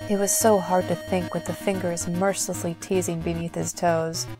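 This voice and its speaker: A woman's voice: lowish voice, little pitch movement, normal speed. A woman reading scripted story narration as the narrator of an audio drama. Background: music.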